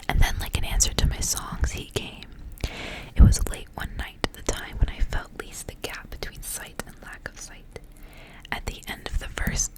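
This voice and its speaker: Whispering woman reading a story. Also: low-quality recording. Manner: hushed narration of a literary fairy tale. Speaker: ASMR reader.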